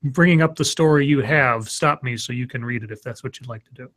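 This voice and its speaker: A man's voice: medium pitch, brisk, a bit bright. A man with a slightly scratchy voice, casually reading news stories on a stream with rambling, sarcastic asides.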